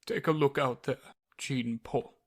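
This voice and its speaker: A man's voice: medium pitch, fairly even intonation, unhurried, a little hiss or hum, close to an everyday voice. A man voicing a grave, commanding king who gives orders in an ASMR roleplay.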